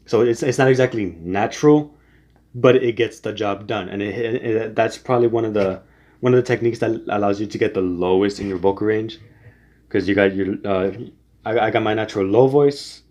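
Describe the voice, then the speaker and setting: A man's voice: lowish voice, fairly quick, a little mellow. A young man giving casual singing tips, rambling with many restarts and fillers. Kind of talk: casual spoken tutorial.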